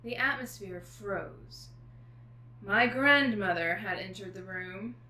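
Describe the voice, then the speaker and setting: A woman author speaking as a guest, with an expressive, informal delivery for reading aloud and chatting. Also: mid-range voice, slow pace. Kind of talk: expressive book reading and casual chat. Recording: echoey room with faint noise.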